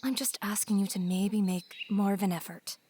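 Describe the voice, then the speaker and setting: Audio drama role: young new wife woman performer, polite, earnest, quietly insistent, medium pitch, light outdoor noise. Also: fairly even intonation, normal speed, natural voice. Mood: concerned, pleading. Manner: gentle, polite but persistent. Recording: faint noise, birdsong behind the voice.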